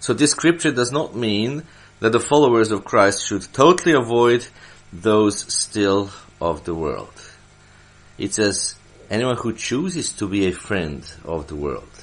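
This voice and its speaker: A man from Serbia, a church elder, delivering Bible teaching from prepared notes in a deliberate way, stressing his phrases. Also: lowish voice, lively intonation.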